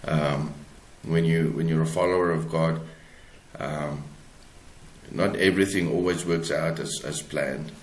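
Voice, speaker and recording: A South African man speaks in a deep voice as an interview guest. He answers in a conversational, reflective way, picking through his phrases haltingly. The recording has a clearly echoey room sound. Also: fairly even intonation. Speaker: author, podcast guest.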